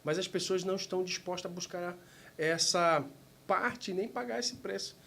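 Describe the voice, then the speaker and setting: A man from Manaus in Brazil hosting a podcast, speaking in long, measured, motivational sentences. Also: high voice, vocal fry, bright, forceful tone. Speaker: podcast host. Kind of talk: interview hosting, reflective monologue.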